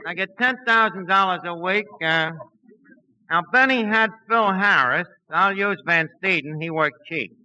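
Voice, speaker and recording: A man acting a brash, scheming tavern manager with rough wise-guy grammar in a 1940s radio comedy. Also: high-pitched, unhurried, sharp tone, highs cut off, heavily acted. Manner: uneducated wise-guy talk, bad grammar. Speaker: comic lead in a radio sitcom. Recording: old 1940s radio recording, light noise.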